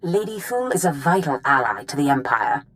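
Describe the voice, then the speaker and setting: Sith mentor, woman, low pitch, lively swoops, commanding and superior, game drama. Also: moderate pace. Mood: pleased, knowing. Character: commanding Sith master addressing her apprentice. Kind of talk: dramatic video game acting.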